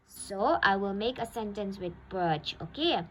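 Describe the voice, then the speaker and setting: Slow, patient schoolteacher. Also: female voice, mid-range voice, animated, a bit bright, a little hiss or hum, rough recording. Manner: slow and deliberate, repeats each definition, ends phrases with "okay?". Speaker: school teacher. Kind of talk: vocabulary lesson for young pupils.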